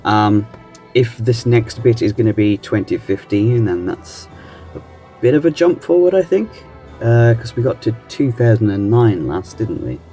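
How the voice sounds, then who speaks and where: A man hosting a reaction channel, chatting casually in a halting, musing way. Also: on the low side, lively intonation, dark tone, soft background music. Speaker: host of a reaction video channel. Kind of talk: casual chat reacting to analog horror videos.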